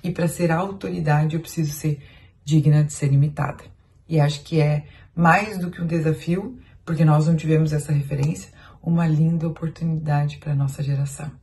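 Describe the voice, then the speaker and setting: A woman's voice: low voice, steady tone, normal speed, dark tone. A woman with a Brazilian Portuguese accent, a parenting educator explaining her views earnestly in a podcast discussion.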